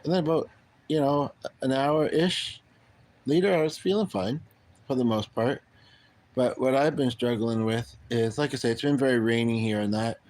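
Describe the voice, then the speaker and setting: A middle-aged man from Canada talks to his livestream viewers in a loose, wandering way. He trails off, starts sentences over and leans on plenty of ums. His voice sits at an ordinary middle pitch for a man. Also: a little mellow. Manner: rambling, halting, many ums and restarts. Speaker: livestream host. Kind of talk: casual livestream chat.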